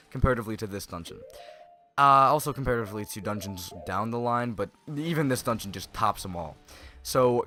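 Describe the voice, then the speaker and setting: A man's voice: mid-range voice, a bit bright. A teenage young man giving casual, rambling, jokey commentary over a video game playthrough. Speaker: gaming YouTuber, host of a playthrough series. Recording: game music behind the voice. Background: video game music.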